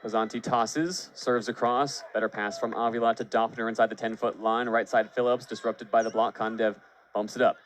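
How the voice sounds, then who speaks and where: A man's voice: fairly low pitch, flat delivery, moderate pace, slight background noise. A man calling live sports on the radio as a play-by-play announcer, fluent and descriptive, lifting his voice on big plays. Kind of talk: live sports commentary for baseball and volleyball.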